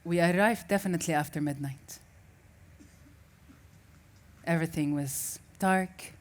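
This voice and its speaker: A woman's voice: fairly low pitch, measured pace. A woman from Syria, a native Arabic speaker, giving a reflective personal story as a festival speaker and often restarting as she searches for words.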